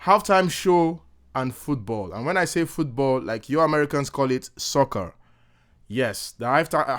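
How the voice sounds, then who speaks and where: A man hosting a casual sports podcast, upbeat and chatty with playful teasing. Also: medium pitch. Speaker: podcast host.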